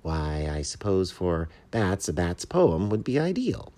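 A man's voice: low voice, very animated, a little slow, warm tone. A man voicing a self-important but courteous mockingbird, a pedantic poetry critic in a storybook. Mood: condescending, polite. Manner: pompous, polite, pedantic.

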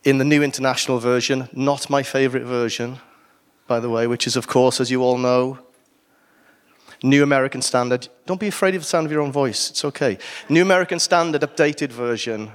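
A Welsh man preaching to his church. His voice sits at an ordinary middle pitch. He delivers the sermon casually, breaking off for jokes and asides. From Wales. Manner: conversational with asides and humor.

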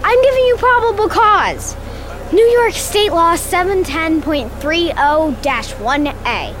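A woman's voice: very high voice, expressive, slow pace, fairly bright tone, slight background noise, heavily acted. A woman playing a lost, frightened but stubborn young girl in an audio fiction drama, pleading in a hesitant, articulate way. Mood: insistent.

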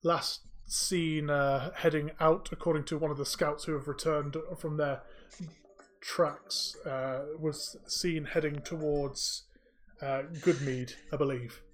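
A man's voice: a little high, flat delivery, slow pace, a bit bright. A man playing a gracious old town speaker. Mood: courteous. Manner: hesitant, apologetic, courteous. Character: tall older male town speaker, gracious and polite.

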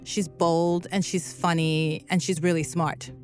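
A woman hosting a podcast, reading scripted documentary narration in a measured, earnest way. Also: lowish voice, flat delivery, a little mellow, soft background music.

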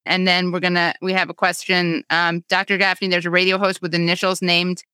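A woman hosting an online talk show over a video call, reading viewer questions with sarcastic quick asides. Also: lowish voice, flat delivery, sharp tone, smooth, dry recording.

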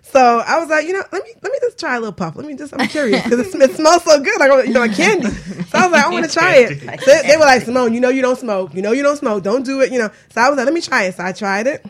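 A woman in her thirties co-hosting a radio talk show, telling a story quickly and expressively. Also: high voice, expressive. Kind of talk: casual chat.

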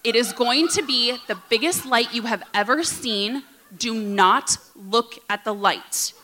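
A woman voicing her father as he loudly and slowly issues emergency instructions, higher and louder than her own telling. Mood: urgent warning. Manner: loud, slow, commanding pronouncements. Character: man, her alarmed father giving orders.

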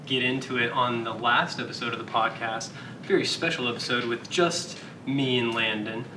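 A man's voice: fairly even intonation, moderate pace, sharp tone, distant mic, rough recording. A young man co-hosting a casual podcast, teasing and playful. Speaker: podcast co-host. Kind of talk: casual chat. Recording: off-mic with rumbling noise.

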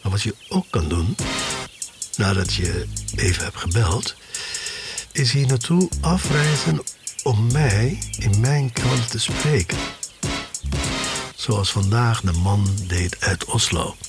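Loud music bed, nostalgic obsessive underground trader, wry, confiding, passionate, dystopian audio drama monologue. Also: male voice, lowish voice, expressive, takes it slowly, long pauses. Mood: calm, inviting. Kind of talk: dramatic monologue in a radio play.

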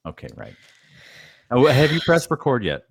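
A man's voice: medium pitch, huge pitch swings, normal speed, vocal fry, breathy edge, dry recording. A man co-hosting a podcast with casual, sarcastic, teasing banter. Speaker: podcast co-host.

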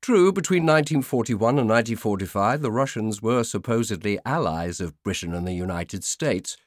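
A man reads scripted nonfiction in a fairly low voice. His delivery is measured and formal, as befits an audiobook narrator. The sound is dry, with very little room to it. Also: dry recording.

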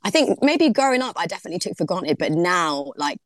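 A woman's voice: on the low side, sing-song, fairly quick, no room sound, studio quality. A young woman, a DJ guest on a podcast, chatting casually and filling her talk with "like". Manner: chatty, heavy use of "like", laughs easily. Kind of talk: casual interview chat.

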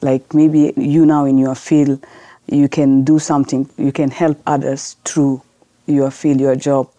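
Podcast guest, woman from South Sudan, low dark voice, dry close sound, earnest and halting. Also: little pitch movement, no echo. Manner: earnest, halting non-native English with restarts. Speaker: guest, lawyer. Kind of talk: podcast interview answers.

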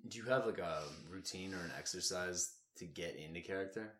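Deep-voiced male interviewer. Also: a little fast, a bit bright. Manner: short prompts and brief acknowledgments. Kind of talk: casual interview questions.

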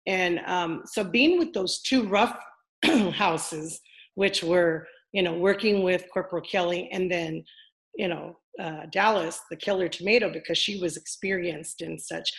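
Older woman, deep and gravelly, chatty interview guest, rambling with fillers. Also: moderate pace, low-quality recording. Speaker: former wrestler, interview guest. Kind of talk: casual interview.

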